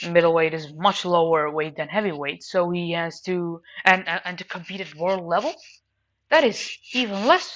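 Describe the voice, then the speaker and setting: A woman giving an informal spoken review in a halting, emphatic way, repeating phrases as she goes. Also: on the low side, fairly bright tone, muffled recording. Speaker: anime reviewer.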